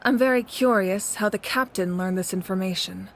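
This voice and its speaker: A woman playing a composed, resolute female warrior leader in a video game, speaking in a measured, earnest and authoritative way. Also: medium pitch, noisy background, natural voice. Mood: grave, resolute. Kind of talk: dramatic video game voice acting. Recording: game music behind the voice.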